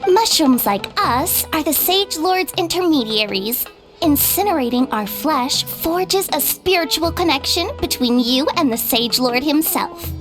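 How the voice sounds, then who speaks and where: A woman's voice: high voice, animated, measured pace, music in the background. A woman voicing a haughty talking mushroom in a video game, formal and condescending as it sets riddles.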